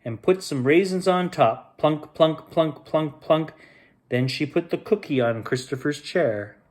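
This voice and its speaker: A man's voice: on the high side, a little slow. A man hosting a bedtime story, reading to children expressively and playfully. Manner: expressive, with playful repeated sound effects.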